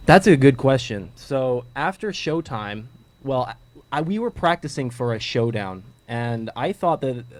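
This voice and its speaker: A man's voice: mid-range voice, lively intonation, unhurried, darkish tone. An American man speaking as a guest in a casual interview, earnest and talkative. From United States. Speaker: interview guest, former TV show performer.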